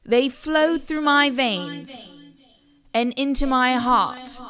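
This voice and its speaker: Woman as young princess, raised pitch, halting, overblown, clueless and smug, storybook, music behind. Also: animated, fairly bright tone, exaggerated voice. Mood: grandly reciting. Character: clumsy, clueless, self-satisfied young princess. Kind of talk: audio storybook acting.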